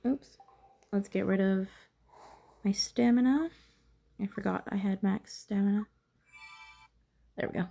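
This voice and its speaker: A woman's voice: medium pitch, measured pace, vocal fry, long pauses, warm tone, faint music behind. A woman giving casual gameplay commentary, thinking aloud to herself in a curious, musing way. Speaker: gamer narrating own playthrough.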